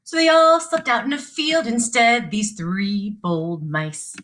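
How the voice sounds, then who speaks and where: A woman teacher giving a sing-song read-along of a children's story, with deliberate, repeated phrasing. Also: mid-range voice, a bit bright. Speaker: teacher reading aloud.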